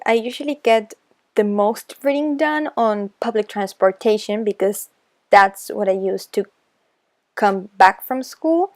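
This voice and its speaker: Slow, hesitant Argentinian teen girl. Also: medium pitch, darkish tone. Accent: Argentinian Spanish. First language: Spanish. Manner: slow, hesitant, self-conscious, many pauses. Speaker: booktuber. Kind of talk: casual voice-over vlog.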